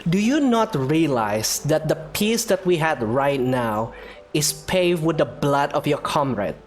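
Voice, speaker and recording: A woman streaming a game in a low, animated voice. She talks casually and bluntly, reacting to the dialogue, with game music faintly behind her. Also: unhurried. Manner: blunt, informal. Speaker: gaming streamer. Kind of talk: casual gameplay commentary, reading player lines aloud.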